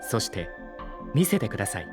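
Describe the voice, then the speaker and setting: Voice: mid-range voice, sing-song, lightly acted. An actor as a formal, courteous artificial intelligence, music behind. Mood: calm, courteous. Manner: formal, polite, measured speech. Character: polite, formal artificial intelligence, calm and unemotional. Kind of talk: scripted audio drama acting.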